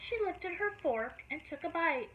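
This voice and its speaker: A teacher reading a picture book aloud to children, expressive and asking them questions along the way. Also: female voice, sits high, normal speed. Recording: tinny phone-like audio, faint noise.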